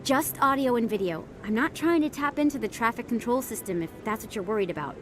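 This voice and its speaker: A woman's voice: lively intonation, fast, fairly bright tone, faint music behind. A woman playing a persuasive, assured investigative reporter in a science fiction video game, speaking articulately. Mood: insistent, reassuring. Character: persuasive, driven female investigative reporter of space opera. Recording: faint rumbling background noise.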